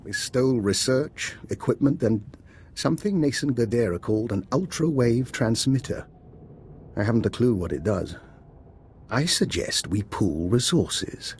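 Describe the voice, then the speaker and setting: A male actor playing a genteel, calculating imperial intelligence fixer in a video game, speaking in a measured, courteous, formal way. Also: medium pitch, lively intonation, darkish tone, a little hiss or hum, lightly acted. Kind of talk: scripted game cutscene dialogue.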